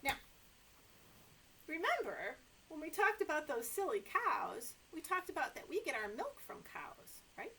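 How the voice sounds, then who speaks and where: A middle-aged American woman reading and chatting to toddlers as a story time host, in an animated, exaggerated way, recorded indoors by a camera a short way in front of her. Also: sits high, unhurried. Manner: animated, exaggerated expression for young children. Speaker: children's librarian hosting story time. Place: small indoor room with sofa.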